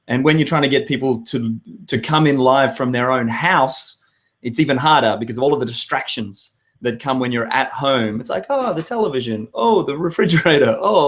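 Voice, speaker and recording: An Australian man in his thirties with a hoarse voice, hosting a live web show and chatting with viewers in an upbeat, rambling way. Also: fairly high pitch. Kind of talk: live webinar chat to viewers.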